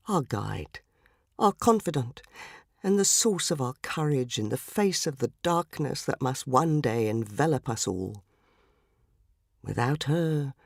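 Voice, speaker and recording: A woman's voice: low voice, no echo, studio quality, full character voice. A woman voicing a solemn, pompous male detective with stately, florid oratory in a dramatised story reading.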